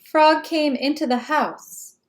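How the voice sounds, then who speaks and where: A woman narrates a children's storybook with emphatic expression. Her voice is fairly high, and she takes her time over the words. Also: slow. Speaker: storybook reader. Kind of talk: children's read-aloud narration.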